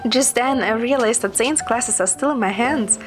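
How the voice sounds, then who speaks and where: Female story narrator, fairly high voice, scripted first-person reading with clear music underneath. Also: moderate pace. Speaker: story narrator, amateur voice performer. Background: clear background music.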